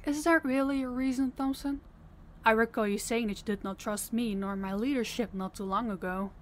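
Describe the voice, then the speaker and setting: A woman's voice: on the high side, fairly even intonation, normal speed, close to an everyday voice. A woman playing a stern, fed-up crime boss in an audio roleplay, commanding and blunt. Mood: fed up, accusing. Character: stern, fed-up female crime boss scolding her subordinate.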